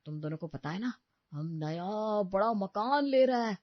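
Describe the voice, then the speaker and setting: A man's voice: high voice, expressive, unhurried, dry recording. Guy acting a tightfisted dad in a family story, kinda preachy, brushes everyone off, dry lo-fi sound. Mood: dismissive. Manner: lecturing, brushing off requests. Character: stingy, dismissive young father obsessed with his new house. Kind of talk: acted Hindi audio story dialogue.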